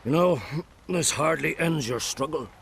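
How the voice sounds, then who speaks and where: An actor playing a kindly old druid healer in a video game, speaking in a formal, measured, avuncular way. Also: male voice, fairly high pitch, creaky, fairly bright tone, a little hiss or hum. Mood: solemn, reassuring. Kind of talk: dramatic video game voice acting.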